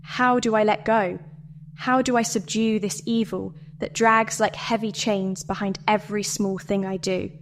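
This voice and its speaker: A woman delivering a scripted guided meditation and dramatic monologue in a measured, deliberate way with pauses. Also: mid-range voice, steady tone. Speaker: meditation narrator.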